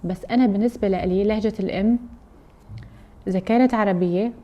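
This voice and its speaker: A woman's voice: medium pitch, steady tone, fast talker, dark tone. A woman from Aleppo with a Syrian accent, a mother chatting casually and explaining things at length to her viewers. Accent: Aleppo Syrian Arabic. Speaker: mother and cooking vlogger.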